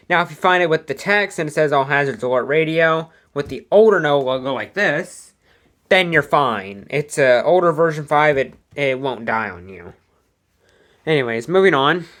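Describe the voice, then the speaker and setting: A casual American voice with a mid-range pitch presents a hobby collection. The delivery is informal and rambling, with a plain, matter-of-fact tone, as in a homemade showcase video. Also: fairly bright tone. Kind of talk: casual product showcase vlog. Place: indoor room at home.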